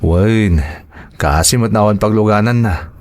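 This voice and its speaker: A man's voice: lowish voice, unhurried, a little mellow, close to an everyday voice. A man with an Ilocano accent playing an evasive, flustered husband in an audio drama, stammering and defensive. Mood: casual excuses. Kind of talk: dramatized audio serial acting.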